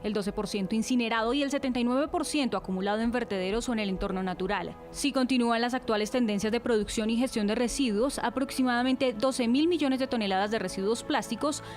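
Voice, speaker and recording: A woman with a slightly high voice reads the news as co-host in a crisp, formal way. Faint music plays behind her. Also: fast talker, few pauses, a little mellow. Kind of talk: scripted news reading.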